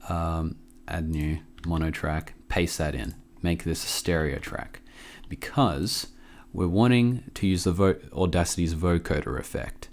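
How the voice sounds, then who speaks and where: A man with a low voice walks through a software tutorial casually. He sounds a little under the weather and talks in an offhand, halting way, close to the mic. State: sick with a cough. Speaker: tutorial presenter. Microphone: close microphone.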